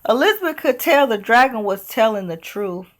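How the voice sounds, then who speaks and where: A woman reading a children's picture book aloud as storyteller, with expressive, deliberate delivery. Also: fairly high pitch.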